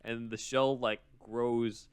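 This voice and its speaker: A man's voice: medium pitch, level tone, slow pace, smooth, no room sound. A man co-hosting a podcast, explaining things in an informal, conversational way as he summarizes a script. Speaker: podcast co-host.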